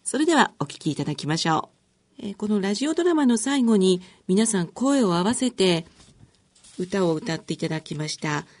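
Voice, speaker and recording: A woman's voice: mid-range voice, very animated, fairly quick, a little mellow. A woman hosting a radio programme, politely interviewing and presenting in a conversational way. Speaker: radio show host.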